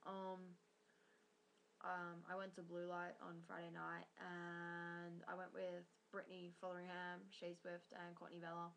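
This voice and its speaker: A woman's voice: on the low side, completely flat delivery, a little slow, creaky, fairly bright tone, a little hiss or hum. Rambling teen girl with slight Welsh accent. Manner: rambling, many ums, swears casually. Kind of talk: casual webcam diary. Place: small bedroom with posters, indoors. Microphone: built-in webcam mic.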